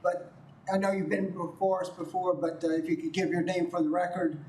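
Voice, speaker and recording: A soft-spoken man presiding at a city council meeting, asking for a name for the record. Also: high voice, steady tone, brisk, roomy sound, low-quality recording.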